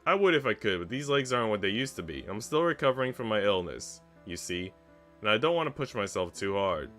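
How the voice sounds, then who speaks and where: A man's voice: fairly low pitch, sing-song, brisk, fairly bright tone. A man voicing a haughty woman, a senior housemaid who bullies with false sweetness in a theatrical, condescending way. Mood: falsely sweet. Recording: music behind the voice.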